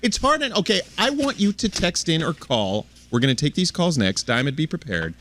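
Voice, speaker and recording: A man's voice: a little high, big pitch swings, fairly quick, background noise. A man hosting a morning radio show with casual, bantering, emphatic talk. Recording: radio broadcast over loud music.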